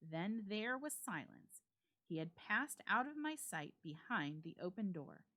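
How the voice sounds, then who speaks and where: A woman storyteller giving a suspenseful, expressive dramatic reading of a ghost story with deliberate phrasing. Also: mid-range voice. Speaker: storyteller, library reader.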